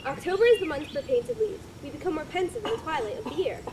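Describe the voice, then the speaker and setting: A dry, teasing gentleman writer of an earlier century, played by a woman in an amateur reading. The voice sits high and moves fast. Background noise runs under the whole recording. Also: very animated. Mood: wry. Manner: wry, teasing, formal old-fashioned speech. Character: dry, skeptical older male writer.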